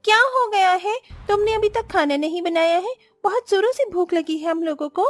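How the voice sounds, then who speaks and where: A woman's voice: extremely high pitch, moderate pace, dry recording, studio quality. A woman voicing a demanding, gluttonous sister-in-law in a cartoon moral story, with a whiny, entitled manner. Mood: impatient, indignant.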